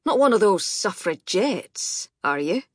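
A woman narrator voicing a gruff, grudging Scottish man in charge, with a sharp, barking manner, in an audiobook. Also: medium pitch, sing-song, a little slow, no room sound, clean studio sound. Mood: brusque. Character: gruff, grudging Scottish male boss, head gardener.

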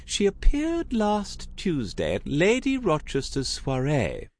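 Man voicing a gushing, high-pitched older society aunt. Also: huge pitch swings, slow pace, full character voice. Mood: gushing delight. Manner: gushing, theatrical, emphatic. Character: lively, dramatic, gossip-loving older aristocratic aunt. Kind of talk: audiobook character acting.

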